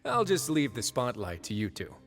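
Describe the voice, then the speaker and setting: Courteous game duke, composed, measured, polished, wryly teasing, medium pitch, faint music behind. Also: male voice, wildly swooping pitch, a bit bright. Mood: matter-of-fact. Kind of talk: video game voice acting.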